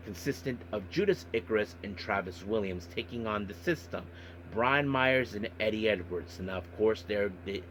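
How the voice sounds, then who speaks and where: A man hosting a podcast monologue, recapping and commenting on events in a halting way with repeated filler phrases. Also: lowish voice, fairly bright tone, a little hiss or hum, rough recording. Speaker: wrestling podcast host.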